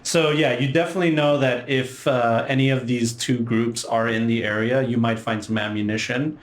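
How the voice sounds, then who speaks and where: A man's voice: medium pitch, fairly even intonation, moderate pace. A man speaking casually as the game master of a tabletop role-playing game. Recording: echoey room.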